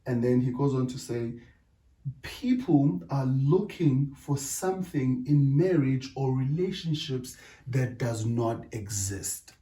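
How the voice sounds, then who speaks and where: A middle-aged South African man whose first language is Zulu. He talks in a deliberate way in a casual discussion and keeps checking in with "right?". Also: mid-range voice, expressive, warm tone. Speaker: podcast co-host. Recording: echoey room, distant mic.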